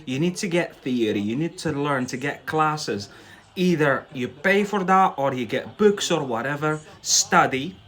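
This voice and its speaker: A man's voice: a little high, lively intonation, measured pace, fairly bright tone. A young man, not a native English speaker, teaching English on a live stream, chatting casually with viewers, often laughing and tagging on "yeah?".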